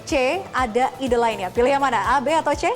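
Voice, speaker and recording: A woman hosting a television talk show in a bright, high voice. She keeps things upbeat and moves the guests along with quick prompting questions. Music plays clearly underneath her.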